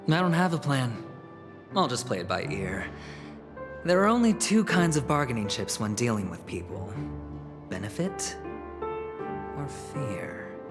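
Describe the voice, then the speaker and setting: A man playing a smug, scheming young gambler in a video game, teasing and dramatic, with hushed asides. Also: mid-range voice, sing-song, unhurried, long pauses. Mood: cocky, coolly calculating. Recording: game music under the voice. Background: game music.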